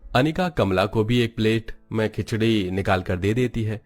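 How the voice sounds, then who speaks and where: A man's voice: lowish voice, moderate pace, soft background music. A man narrating a Hindi cartoon story in a scripted storytelling style.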